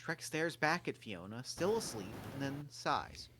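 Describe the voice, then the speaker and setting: A man reading the stage directions in a table read, in a quiet, matter-of-fact way. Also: medium pitch, sing-song, measured pace, breathy edge.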